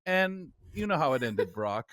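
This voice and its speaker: A man's voice: medium pitch, sing-song, measured pace, fairly bright tone, dry recording. A man hosting a radio magazine show, telling stories with a theatrical delivery. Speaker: talk show host.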